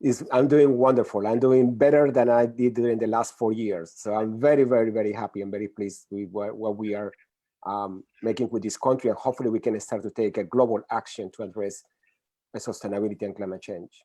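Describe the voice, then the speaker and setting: A middle-aged man with a Colombian Spanish accent speaks English earnestly and at an easy conversational pitch. His voice is dark and mellow, recorded dry and close with little room sound. He often restarts his sentences as he explains. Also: fairly even intonation, fairly quick, no room sound. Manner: earnest, many restarts and fillers. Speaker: guest expert. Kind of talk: conversational interview.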